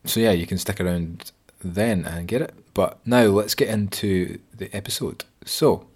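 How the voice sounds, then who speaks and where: Very low-voiced chatty podcast host. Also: male voice, measured pace, darkish tone, husky. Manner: loose, chatty, many fillers. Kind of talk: casual podcast intro and interviewing.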